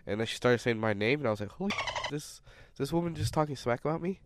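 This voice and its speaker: A man's voice: mid-range voice, lively intonation, fast talker, noisy background. A young man co-hosting a casual podcast, playful and teasing, mixing in Spanish words and sounding stuffy from a cold. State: stuffy, sick. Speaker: podcast co-host. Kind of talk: casual chat.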